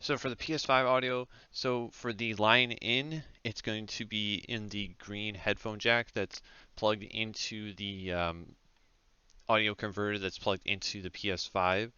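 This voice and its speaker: A man giving a casual software tutorial in an informal, hesitant step-by-step way, speaking close into a headset mic. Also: lowish voice, fairly even intonation, a little slow, clean tone, no echo. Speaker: tutorial presenter. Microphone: close headset microphone. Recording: dull, lo-fi headset audio.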